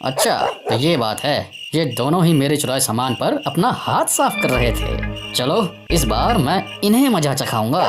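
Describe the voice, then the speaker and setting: A woman plays a vengeful male thief from a village cartoon. The voice is low and animated, full of grumbling, with music behind it. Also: normal speed, long pauses.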